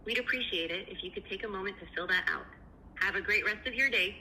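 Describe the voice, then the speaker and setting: Bright, brisk woman recruiter on phone. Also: mid-range voice, a little hiss or hum, poor audio. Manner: polite, affirming, polished. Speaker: AI recruiter interviewer. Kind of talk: scripted phone screening interview.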